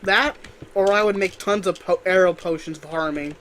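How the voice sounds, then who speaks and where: A man's voice: high-pitched, moderate pace, a bit bright, slight background noise. A man chatting casually with friends while playing a video game. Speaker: gamer in a group let's play. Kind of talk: casual chat during gameplay.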